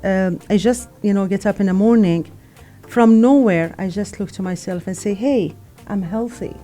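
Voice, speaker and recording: Earnest mellow-voiced woman from Syria. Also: mid-range voice, moderate pace, faint music behind. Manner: earnest, reflective, many "you know" fillers. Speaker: guest. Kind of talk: casual radio chat.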